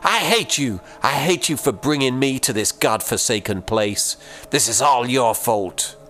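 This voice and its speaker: A man's voice: medium pitch, animated, unhurried, bright, forceful tone, slight background noise, pitched above the performer's own voice. A man voicing a distraught, heavily pregnant farm mother screaming in bitter rage at her absent husband.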